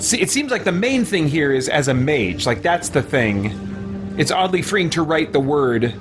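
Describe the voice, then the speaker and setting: A middle-aged man giving casual live let's-play commentary, musing and thinking aloud in halting asides. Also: on the high side, very animated. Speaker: game streamer. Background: game music.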